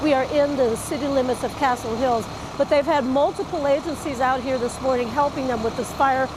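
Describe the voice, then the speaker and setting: High-voiced American woman reporting from noisy street. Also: a little fast. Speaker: field news reporter. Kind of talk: live on-scene news report, partly ad-libbed. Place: outdoors in a residential neighborhood. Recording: noisy outdoor street with vehicle sounds.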